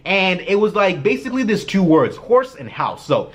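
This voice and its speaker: Young Kenyan-accented man, early twenties, vlog host; lively, swooping delivery with self-deprecating jokes. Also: sits high, moderate pace. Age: early 20s. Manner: chatty, animated, self-deprecating humor. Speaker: vlogger. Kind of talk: casual personal storytelling to camera.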